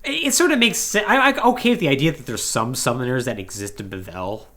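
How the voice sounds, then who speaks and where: A man's voice: mid-range voice, fast. A man hosting a podcast, giving a casual, conversational recap of a story. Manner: animated, conversational, acting out paraphrased dialogue. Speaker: podcast host.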